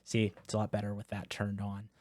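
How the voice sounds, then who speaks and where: A man casually walking through software settings in a product review, talking into a close dynamic USB microphone. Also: fairly low pitch, monotone, fairly quick, no room sound. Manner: casual, explanatory, with filler words. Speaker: tech reviewer.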